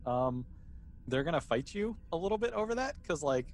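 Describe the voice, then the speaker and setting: A man running a tabletop game as game master, narrating casually and haltingly as he thinks aloud. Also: fairly high pitch, very animated.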